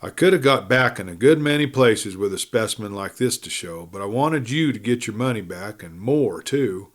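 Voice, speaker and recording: A man's voice: fairly low pitch, expressive, moderate pace. A man voicing a direct, persuasive prospector in his prime in a western audiobook, with rustic speech. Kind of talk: western audiobook character acting.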